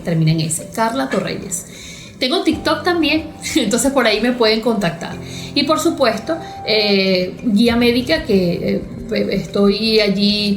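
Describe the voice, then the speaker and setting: A Venezuelan woman speaking as a therapist, explaining things didactically in short, measured phrases. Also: mid-range voice. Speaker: cognitive therapist. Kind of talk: explanatory interview talk. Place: therapy consulting room. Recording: echoey room with music bed.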